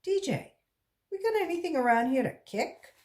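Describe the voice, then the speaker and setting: A woman's voice: very high voice, expressive, moderate pace, a little mellow, pitched above the performer's own voice. A woman voicing a teasing playground man who questions children with mock hesitation in a storybook. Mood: teasing, stalling.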